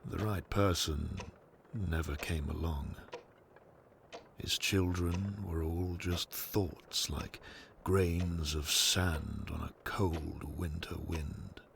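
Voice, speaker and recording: A man narrates an audio drama in a low, gravelly voice. His storytelling is slow and measured, like a storybook being read out. There is clear noise and ambience behind him. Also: steady tone.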